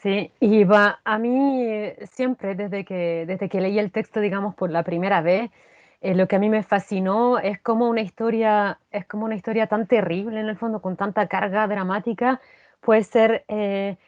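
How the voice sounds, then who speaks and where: A woman's voice: medium pitch, steady tone, moderate pace, no echo. A woman with a Chilean accent answers in a conversational interview. Her mid-range voice is reflective, and she repeats phrases while she thinks. The recording is dry and a bit lo-fi. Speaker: interview guest, actress. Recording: dull lo-fi sound.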